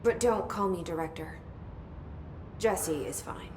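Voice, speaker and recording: An actress playing a determined, plain-spoken young director in a video game, direct, guarded and understated. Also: female voice, fairly low pitch, slow. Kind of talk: video game dialogue acting. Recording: echoey room, faint background noise.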